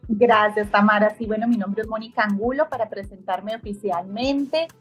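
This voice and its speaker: A middle-aged woman from Costa Rica, a guest realtor, chatting in an effusive, slightly halting way. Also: medium pitch, over music. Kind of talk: casual interview chat.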